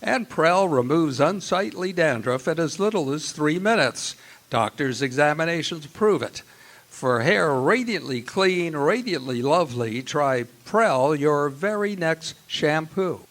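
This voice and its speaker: A man's voice: a little high, animated, unhurried, a bit bright. An American man announcing in the style of an old-time radio commercial, with an upbeat, emphatic sales delivery.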